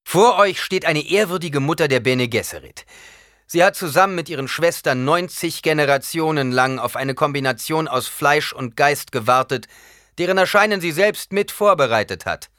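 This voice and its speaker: A man reading an audiobook, voicing a cold, defiant young ruler who talks forcefully and rises into declaiming shouts. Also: medium pitch, lively intonation, normal speed, no room sound, clean studio sound, voice pushed up, close to an everyday voice. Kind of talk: audiobook character voice.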